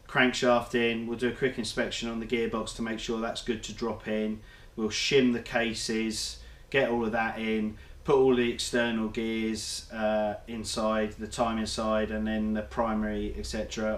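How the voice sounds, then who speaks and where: Guy with a cold, bit nasal, talking thru his engine build in an echoey garage. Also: fairly low pitch. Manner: methodical, explanatory, with fillers. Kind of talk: workshop how-to vlog.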